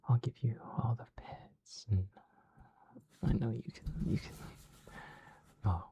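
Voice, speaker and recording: Whispering gravelly man, soft and coaxing. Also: lowish voice, fairly even intonation, slow pace. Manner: soft, coaxing, soothing pet-talk. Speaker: ASMR creator with a dog persona. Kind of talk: whispered ASMR.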